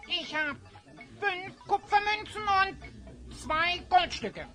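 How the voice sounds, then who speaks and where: Casting: a tiny knowing creature sidekick, piercing high voice, emphatic storyteller, fantasy radio play with music behind. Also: female voice, animated, slow, fairly bright tone, heavily acted. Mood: pert. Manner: emphatic, know-it-all storytelling. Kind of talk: German fantasy audio drama acting.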